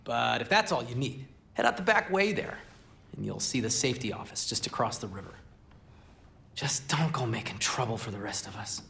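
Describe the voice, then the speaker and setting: An actor playing an easygoing, sardonic dwarf musician in a video game, with a nonchalant, teasing delivery. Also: male voice, medium pitch, animated, normal speed, vocal fry, pushed and bright, a little hiss or hum. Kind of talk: video game character acting.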